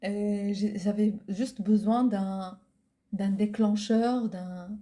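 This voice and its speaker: A woman whose first language is Arabic, co-hosting a casual podcast and often pausing to search for words. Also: medium pitch, fairly even intonation, mellow. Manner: hesitant, searching for words, many fillers. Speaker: podcast co-host. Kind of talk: casual chat.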